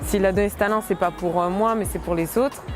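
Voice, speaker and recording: A woman in her late twenties, a dancer, talking casually and hesitantly in an interview, with many fillers and soft laughs. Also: medium pitch, fairly even intonation, quick pace, music in the background. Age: late 20s.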